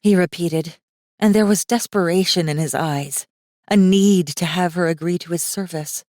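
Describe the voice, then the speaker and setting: Lowish-voiced woman narrating fantasy audiobook. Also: normal speed, a little mellow, no echo. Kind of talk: dramatic scripted fantasy narration. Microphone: close studio microphone. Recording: dry studio recording.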